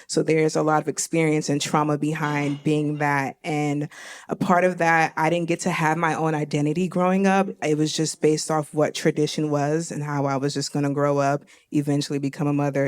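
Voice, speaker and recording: A woman's voice: low voice, flat delivery, brisk. A young woman from Miami hosting a live stage conversation, talking candidly and laughing easily. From Miami, Florida. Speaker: host. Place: live event stage before an audience.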